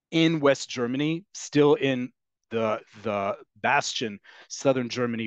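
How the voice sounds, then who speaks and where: A man teaching a Hebrew class on a video call, explaining earnestly with frequent pauses and ums. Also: mid-range voice, no room sound, dull audio. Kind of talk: online class lecture.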